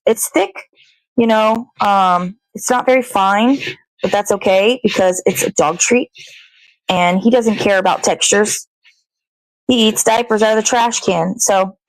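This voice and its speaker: A woman's voice: mid-range voice, no echo, rough recording. An American woman hosting casually from her kitchen, chatting in an informal, rambling, sweary way as she cooks. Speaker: host of a morning show. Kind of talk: casual chatty narration while cooking. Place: indoors in a home kitchen.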